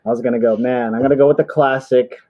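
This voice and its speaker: A man's voice: mid-range voice, steady tone, fast, no echo. Slangy male co-host, slightly muffled sound. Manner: slangy, emphatic, repeats phrases for effect. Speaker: show co-host. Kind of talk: casual chat on a livestream. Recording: slightly dull, lo-fi call audio.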